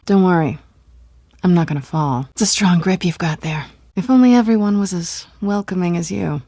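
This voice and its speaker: A sly, self-assured American female cat burglar for a video game. She speaks on the low side with heavy vocal fry, teasing and cynical, in a close dry recording. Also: normal speed, warm tone, no echo. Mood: cool. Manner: teasing, sardonic.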